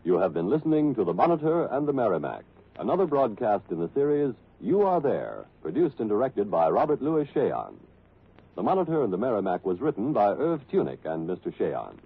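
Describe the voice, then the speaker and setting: Animated 1940s radio announcer, husky and tinny. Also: male voice, fairly low pitch, brisk. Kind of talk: 1940s radio announcing, scripted credits and promos. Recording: tinny, narrow old radio broadcast recording.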